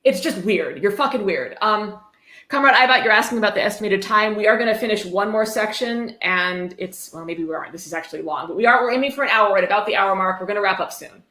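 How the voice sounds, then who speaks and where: A woman's voice: medium pitch, animated, quick pace, bright, forceful tone. A woman hosting a live-streamed reading, commenting casually in a wry, sardonic way with some swearing. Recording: roomy, echoey sound.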